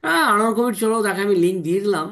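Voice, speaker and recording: A man's voice: high-pitched, animated, very fast, gravelly, a bit bright. A man hosts an informal online team meeting, repeating himself, often adding tag questions and mixing in English words.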